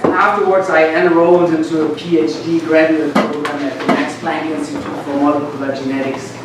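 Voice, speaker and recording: A man's voice: a little high, flat delivery, a little fast. German-accented man lecturing in very echoey room. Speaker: guest lecturer, medical school professor. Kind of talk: informal academic talk to students. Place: lecture classroom, indoors. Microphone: far camera at back of room. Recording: distant, very echoey, noisy room recording.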